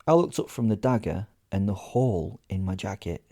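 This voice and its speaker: Man, lowish voice, dark mellow tone, sardonic, theatrically indignant, conversational first-person storytelling. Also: expressive, moderate pace, vocal fry. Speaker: narrator in character as a werewolf.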